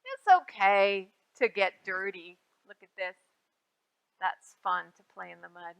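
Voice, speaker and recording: A woman hosting a storytime for young children, reading aloud in a sing-song way with drawn-out, emphasized phrases and simple prompts to her listeners. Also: medium pitch, very animated, creaky, a bit bright, clean tone, poor audio. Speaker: children's librarian and storytime host.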